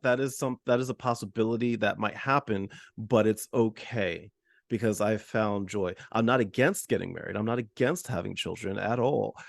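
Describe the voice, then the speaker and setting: A man in his thirties hosting a podcast, chatting in a playful, expressive way with frequent laughs. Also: lowish voice, vocal fry, no echo, clean studio sound. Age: 30s. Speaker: podcast host. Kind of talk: casual conversational interview.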